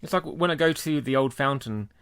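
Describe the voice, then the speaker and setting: A man co-hosting a casual podcast, chatting in a relaxed, talkative way and laughing easily. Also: medium pitch, brisk, smooth, no echo. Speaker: podcast co-host. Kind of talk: casual chat.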